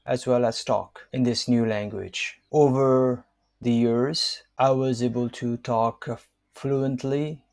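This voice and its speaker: A man in his fifties from Nepal, whose first language is Newari, reading his own book aloud in a measured, hesitant way with frequent fillers. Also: mid-range voice, steady tone, creaky, darkish tone. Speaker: author and language counselor.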